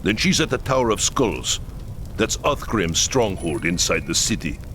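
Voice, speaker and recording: An actor playing a proud, solemn leader of a war-torn camp in a fantasy game cutscene, speaking earnestly with music behind. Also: male voice, deep voice, very animated, normal speed, fairly bright tone, slight background noise. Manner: earnest and solemn, pleading at times. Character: grave, proud Kislevite camp leader, middle-aged man. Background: orchestral game music.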